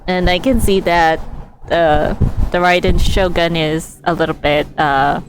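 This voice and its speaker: Slow-talking, lowish-voiced woman game streamer. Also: steady tone, background noise. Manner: halting, giggly, thinks aloud. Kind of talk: casual live game commentary.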